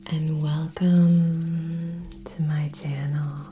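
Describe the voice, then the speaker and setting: A woman speaking soft, breathy ASMR in a near-whisper, gently hosting with long pauses between short phrases. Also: deep voice, level tone, highs cut off. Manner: slow, gentle, hushed delivery with long pauses. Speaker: ASMR channel host. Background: relaxing music with singing bowl tones.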